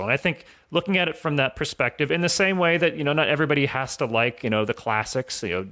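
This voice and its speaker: A man's voice: medium pitch, pushed and bright. A man speaking as a podcast guest, giving conversational analysis in an explanatory, self-rephrasing way. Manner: explanatory, often rephrasing with "you know".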